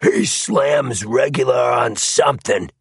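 A man's voice: mid-range voice, slow pace, gravelly, breathy edge, no room sound, clean studio sound, voice pushed up, exaggerated voice. A man voicing a primitive, loutish male gang henchman who blurts coarse slang, in an audiobook. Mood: leering.

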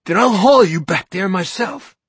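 Man as forceful determined guy, husky, urgent. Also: on the high side, sing-song, unhurried, dry recording, heavily acted. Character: determined, forceful grown man confronting a coward.

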